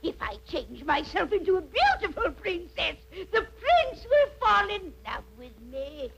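A scheming cartoon witch, extremely high and theatrical. She draws her words out slowly with wicked relish and a cackle in the voice. The old soundtrack hisses clearly behind her. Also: wildly swooping pitch, heavily acted, rough recording. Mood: dramatic. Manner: theatrical, cackling, drawn-out delivery. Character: ugly scheming female witch, gleefully wicked, lovestruck. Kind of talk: cartoon voice acting.

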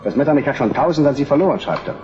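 An actor playing a genial, chatty diplomat in a German historical radio drama. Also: male voice, mid-range voice, lively intonation, racing, natural voice. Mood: affable. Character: genial Austrian-side diplomat from Holstein. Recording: dull old broadcast, music behind.

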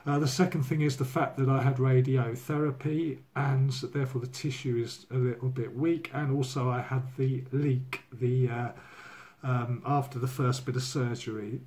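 Hoarse man in his sixties, candid home video diary, loose and rambling, camera mic. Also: mid-range voice, a little mellow. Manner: candid, rambling, many fillers. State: hoarse from a cold. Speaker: vlogger. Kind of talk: casual personal video diary. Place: living room at home. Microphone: laptop or phone camera at desk.

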